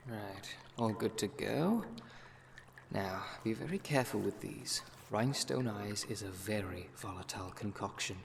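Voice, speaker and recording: Low-voiced, wry witch played by a man, noisy backdrop. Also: vocal fry. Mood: careful, instructive. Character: brisk, wry city witch, a seasoned potion brewer. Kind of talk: ASMR roleplay audio drama monologue.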